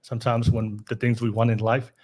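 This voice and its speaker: A man's voice: mid-range voice, little pitch movement, brisk, darkish tone. A man hosting a casual live podcast, upbeat, laughing often and restarting his sentences. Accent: Californian. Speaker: podcast host.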